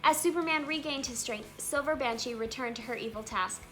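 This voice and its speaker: A woman hosting a children's read-aloud, telling a storybook in an animated, expressive way with emphatic exclamations. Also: sits high, a little fast. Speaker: read-aloud channel host. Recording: music behind the voice. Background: music.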